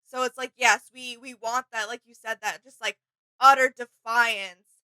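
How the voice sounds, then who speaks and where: Female co-host, fairly high, bright voice, informal and agreeable in casual reaction chat. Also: fairly even intonation, moderate pace, poor audio. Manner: agreeable, informal, backing up her co-host's points.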